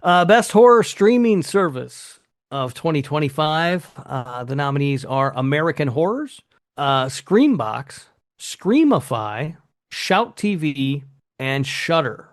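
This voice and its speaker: A man's voice: fairly high pitch, big pitch swings, takes it slowly, dry recording, clean studio sound. A man hosting a podcast, chatting casually and announcing things in a hesitant, sweary way. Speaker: podcast host presenting awards.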